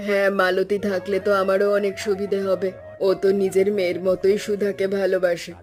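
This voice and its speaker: A woman's voice: mid-range voice, level tone, fairly quick, darkish tone, soft background music, lightly acted. A woman playing a caring, middle-aged mother in a cartoon, eagerly preparing for a family visit. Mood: happy, eager. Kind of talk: cartoon voice acting.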